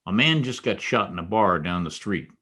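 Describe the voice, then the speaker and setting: A man's voice: low-pitched, lively intonation, moderate pace, sharp tone, exaggerated voice. An American man playing a tough, wry private detective in a 1940s radio noir style, clipped and slangy. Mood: pressing, wry. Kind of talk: 1940s radio noir acting with first-person narration.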